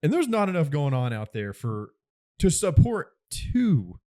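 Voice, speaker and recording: Lively man, podcast banter. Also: a little high, unhurried. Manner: animated, laughs often, thinks aloud. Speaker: podcast co-host. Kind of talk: casual chat.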